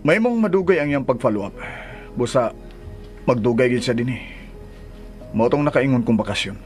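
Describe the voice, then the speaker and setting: An actor playing a soothing, apologetic young husband in a radio drama, placating and a little hesitant. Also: male voice, medium pitch, lively intonation, normal speed, darkish tone, faint music behind, close to an everyday voice. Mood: coaxing.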